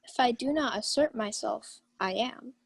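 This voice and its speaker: A proud noble lady of a Shakespeare radio drama, played by a young woman. She speaks with theatrical command in a fairly high voice, recorded dry. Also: moderate pace, creaky, husky, no echo. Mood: haughty. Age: young adult. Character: Olivia, proud, imperious young countess. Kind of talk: 1940s radio Shakespeare acting.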